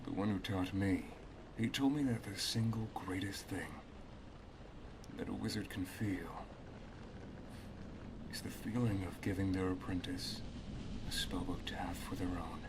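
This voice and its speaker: A man playing an affectionate, teasing wizard master in an ASMR roleplay, speaking softly, intimately and in a measured way. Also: deep voice, noisy background. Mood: gentle, proud.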